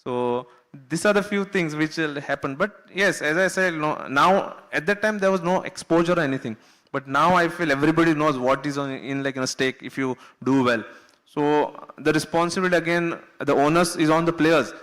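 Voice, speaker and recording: Indian man from Goa, ex-cricketer, slightly high voice, earnest and chatty. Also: normal speed, a bit bright. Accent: Indian English. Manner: earnest, uses filler "you know", laughs while telling stories. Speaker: former cricketer, panelist. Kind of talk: panel discussion anecdote. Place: conference hall.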